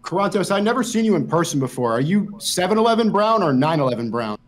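A loud, excited man calls in as a guest. His voice is high and bouncy, and he talks fast. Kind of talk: casual group video call. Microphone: video call.

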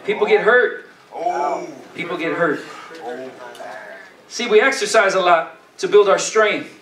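A middle-aged male pastor preaches with a very high, forceful voice. He exhorts in plain colloquial phrases, repeating them for emphasis and checking in with "amen?". The sound is distant and echoey, from a church stage. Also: measured pace. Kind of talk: sermon.

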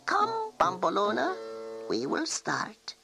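Woman doing a high, unhurried old witch, solemn and wise. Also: huge pitch swings, vocal fry, exaggerated voice. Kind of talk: storybook read-aloud. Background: music.

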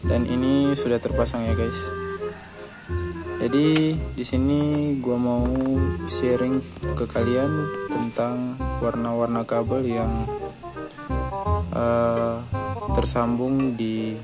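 A man hosting a casual motorcycle how-to vlog, explaining things slowly step by step. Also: mid-range voice. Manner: slow, flat step-by-step explaining. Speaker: motovlog host. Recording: music bed underneath.

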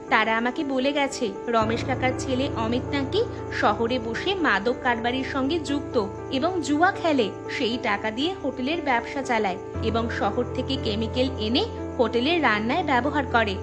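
A woman acts out a young village daughter in a cartoon. She is high-pitched, eager and scheming, pressing her point with emphasis. Music plays clearly behind her. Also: lightly acted. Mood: urgent, scheming. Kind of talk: Bengali cartoon voice acting.

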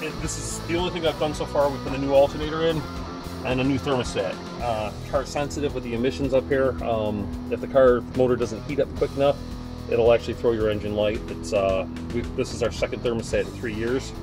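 A man's voice: medium pitch, fairly even intonation, fairly quick. A man in his forties chatting casually about his cars, telling long stories with many fillers and restarts, recorded first inside a car and then outdoors in a driveway. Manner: rambling storyteller, fillers and restarts. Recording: background music under the talk.

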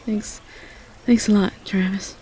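A woman playing a tender, easily overwhelmed Southern belle girlfriend in a roleplay audio drama, with a Southern US accent and a halting, breathy delivery. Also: mid-range voice, a little hiss or hum, lightly acted, low-quality recording. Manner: soft, halting, repeats words.

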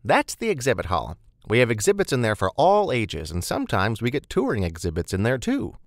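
A motherly, talkative woman from an audiobook, performed by a man. Her voice sits well up high and swings around in lively fashion, brisk and welcoming, captured dry and close. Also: dry recording. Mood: bustling, welcoming. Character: chatty, motherly, bustling woman museum staffer. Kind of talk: audiobook character dialogue.

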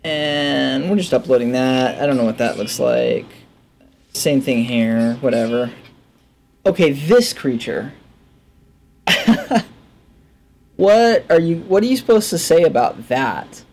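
Male gaming host, bemused and thinking out loud, lively intonation with very unhurried, broken-up phrasing. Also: mid-range voice, creaky. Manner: halting, musing, bemused. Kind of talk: casual game commentary, thinking aloud. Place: indoor room at a desk. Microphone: headset.

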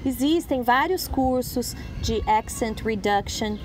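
A woman's voice: a little high, expressive, takes it slowly. A middle-aged Brazilian woman, an English teacher, talking earnestly in a casual conversation and explaining with examples. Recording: street noise behind.

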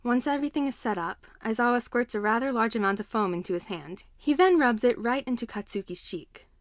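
A woman's voice: fairly high pitch, expressive, a little fast, no room sound. Woman narrating a story, dry close sound. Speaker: fan fiction narrator. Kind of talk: expressive scripted story narration.

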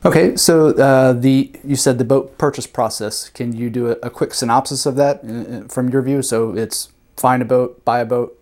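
A man in his forties hosts a casual interview in a medium-pitched voice. He puts his questions conversationally, close-miked with a lapel mic in a small indoor room. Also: fairly even intonation, moderate pace, few pauses, a little mellow. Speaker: interviewer, host.

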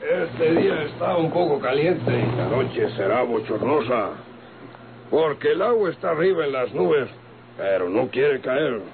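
An actor playing a polite, well-spoken employee with bookish diction in an old radio drama. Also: male voice, medium pitch, sing-song, unhurried. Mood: polite, formal. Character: courteous, well-spoken, formal male employee. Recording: noisy, tinny old radio recording.

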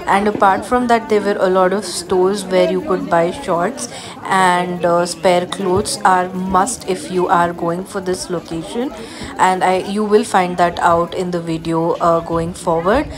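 A woman's voice: fairly low pitch, fairly even intonation, measured pace, crowd noise. A young adult woman with an Indian accent, narrating a travel vlog in a casual way. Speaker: travel vlogger.

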